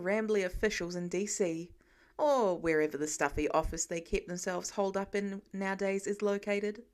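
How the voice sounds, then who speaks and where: A woman reading a piece of fan fiction aloud as scripted narration. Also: on the high side. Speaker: podfic reader.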